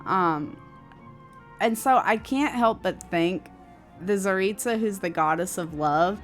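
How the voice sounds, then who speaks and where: A woman's voice: medium pitch, slow pace. A young woman streams a game with casual running commentary. Her mid-range voice is chatty and reactive, full of slang and swearing, with light music underneath.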